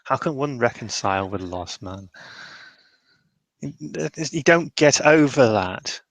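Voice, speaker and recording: A man improvising in character during a tabletop roleplaying session, halting and restarting his phrases as he goes. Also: medium pitch, animated, dry recording.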